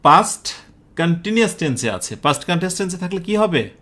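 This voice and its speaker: Animated Bangladeshi male teacher. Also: high-pitched, quick pace. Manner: didactic, often puts questions to students and checks understanding. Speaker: English grammar teacher. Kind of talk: live exam-prep lesson, explaining step by step.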